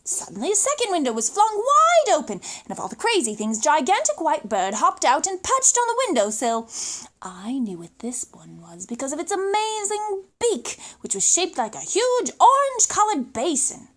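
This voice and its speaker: Female storyteller, very high and animated, expressive children's read-aloud with dramatic pauses. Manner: dramatic, emphatic, suspenseful pauses.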